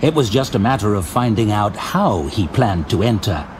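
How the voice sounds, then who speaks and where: A man giving scripted fantasy audio drama narration, measured and dramatic, recorded as a studio voice-over. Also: on the low side, very animated, noisy background. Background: faint music.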